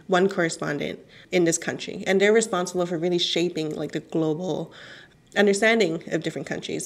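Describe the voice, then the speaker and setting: Lively, laughing woman journalist guest. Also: lowish voice. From Chinese origin. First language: Cantonese. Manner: animated, laughs often, frequent "like" fillers. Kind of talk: radio interview.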